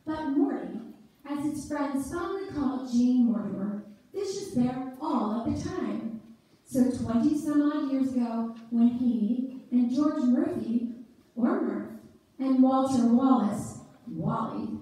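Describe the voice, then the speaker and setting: Woman narrator for live readers theater, measured delivery, very echoey church sound from far off. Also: high voice, mellow. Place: church sanctuary, before an audience. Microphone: far camera among the audience.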